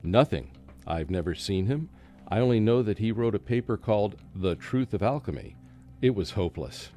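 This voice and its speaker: A man's voice: fairly low pitch, lively intonation, normal speed, dark tone, lightly acted. A man playing a skeptical, scholarly British scientist in a radio drama, rational but troubled. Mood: dismissive, puzzled. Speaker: actor and first-person narrator. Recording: faint music behind a student radio play.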